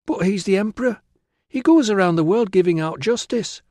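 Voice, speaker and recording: A man narrator plays a silly, excitable young girl in an audiobook. The voice is pushed high, gushing and whiny, and always insistent. The sound is close and dry. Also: brisk, no echo. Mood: naive, insistent. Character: silly, pleading, excitable teenage British girl. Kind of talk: audiobook character voice.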